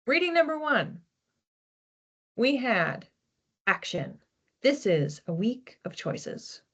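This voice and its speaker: A woman hosting an informal psychic card reading in a conversational, reflective way, with animated asides. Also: a little high, takes it slowly. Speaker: psychic card reader, host.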